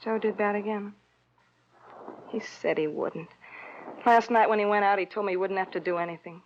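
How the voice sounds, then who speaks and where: A woman's voice: medium pitch, steady tone, normal speed, a little hiss or hum, close to an everyday voice. An actress in an old radio crime drama playing a wary, sardonic young woman with a tough, challenging manner. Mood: bitter, defiant. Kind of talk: 1940s-50s radio crime drama acting. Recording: muffled old radio recording.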